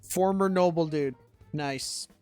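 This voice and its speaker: A woman's voice: sits low, takes it slowly, darkish tone, smooth, no echo. A young adult woman giving casual, jokey live commentary on a video game, full of rambling asides. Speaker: game streamer. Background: video game music.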